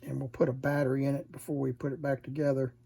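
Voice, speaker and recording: A man giving a casual hands-on repair demonstration, unhurried and murmuring to himself as he works. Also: mid-range voice, level tone, dark tone. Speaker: vintage radio repair hobbyist, presenter. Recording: clear background noise, room ambience.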